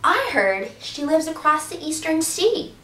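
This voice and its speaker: A woman's voice: big pitch swings, a little slow, pushed and bright, a little hiss or hum, full character voice. A woman voicing a small, helpful bluebird in a children's story, speaking in a chirpy way. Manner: high, chirpy. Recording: roomy echo, distant mic.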